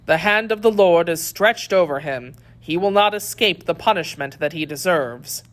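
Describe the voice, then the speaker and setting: A woman's voice: fairly low pitch, normal speed, lightly acted. A woman reading the part of a stern, devout male officer in a formal, solemn audiobook delivery. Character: stern, pious young male Puritan officer. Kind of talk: dramatic audiobook character reading.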